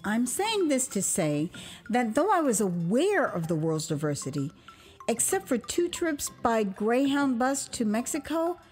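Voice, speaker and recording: An American woman narrating a dramatized memoir as its author, conversational and expressive, with dramatic pauses. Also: a little high, soft background music. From United States (Houston, Texas). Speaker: author and storyteller.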